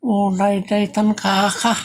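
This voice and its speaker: A man's voice: sits high, completely flat delivery, slow pace. A man with an Ilocano accent playing a grumbling, exasperated village father in a comedy audio drama, complaining in exclamations. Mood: indignant.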